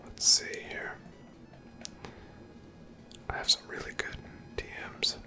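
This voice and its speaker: A person whispering unhurried ASMR commentary over gameplay in a breathy, unvoiced voice, musing aloud. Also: music in the background. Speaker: ASMR game streamer.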